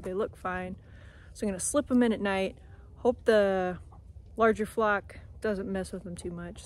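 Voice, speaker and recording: A young woman chatting casually as she films her farm on a phone held at arm's length, outdoors, sometimes talking to her chickens in a soft coaxing voice. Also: mid-range voice. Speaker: homestead vlogger. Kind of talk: casual vlog narration. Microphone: phone camera held at arm's length. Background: clucking chickens and roosters.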